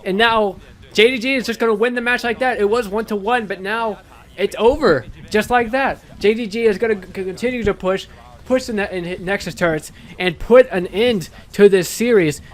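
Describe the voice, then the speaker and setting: A young man with a raspy voice, casting an esports match play-by-play by himself, often restarting his sentences and getting louder when the fights break out. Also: high voice, normal speed. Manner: frequent restarts and stumbles, rising to excited shouting in fights. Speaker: esports caster. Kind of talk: solo play-by-play shoutcast.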